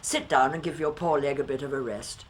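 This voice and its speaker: A woman's voice: a bass voice, brisk, a little hiss or hum. An actress playing a motherly, long-suffering Victorian housekeeper in a radio drama, fussy and scolding. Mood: fussing, fond. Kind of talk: period radio drama dialogue.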